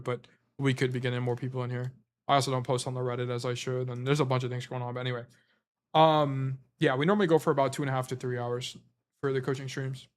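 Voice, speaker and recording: A man coaching as a stream host, reviewing gameplay in a casual, rambling way while losing his voice. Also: mid-range voice. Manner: casual, rambling, filler-heavy explanations. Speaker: game coach.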